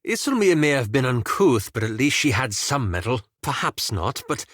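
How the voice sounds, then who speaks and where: An actor playing a reserved, courteous elf adventurer in a video game, speaking formally and articulately in a guarded, hesitant way. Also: male voice, medium pitch, sing-song, normal speed, a bit bright, dry recording, clean studio sound. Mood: dry, critical. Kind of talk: scripted video game character dialogue.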